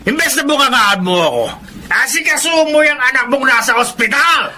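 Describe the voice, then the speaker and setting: An actor playing a put-upon father of grown children in a radio drama, theatrical and expressive, with a Filipino accent. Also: extremely high pitch, moderate pace, a little hiss or hum, full character voice. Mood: aggrieved, shouting.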